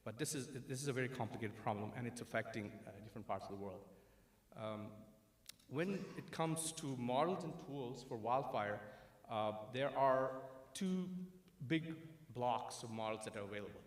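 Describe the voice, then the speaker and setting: A man from India giving a conference talk at a podium in a large auditorium, measured and explanatory with many fillers. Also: medium pitch. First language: an Indian language. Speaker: conference speaker. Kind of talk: conference presentation. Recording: roomy hall with long reverb.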